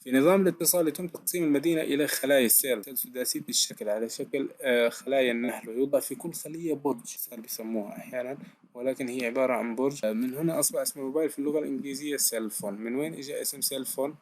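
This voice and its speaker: A man's voice: medium pitch, very fast, darkish tone. A man giving a recorded technical lesson, explaining step by step and answering his own questions with frequent hesitations. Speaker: lecturer.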